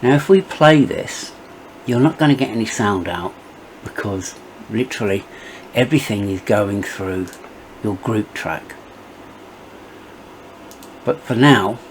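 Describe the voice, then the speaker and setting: Middle aged guy doing a software walkthrough, lowish voice, bit of hiss behind him. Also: poor audio. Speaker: tutorial presenter. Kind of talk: step-by-step software tutorial narration.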